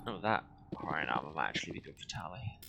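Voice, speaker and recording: A man's voice: very deep voice, animated, quick pace, music in the background. A man giving casual, wry game commentary as a let's play streamer, with sarcastic asides. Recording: clear game noise behind the voice. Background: video game sound.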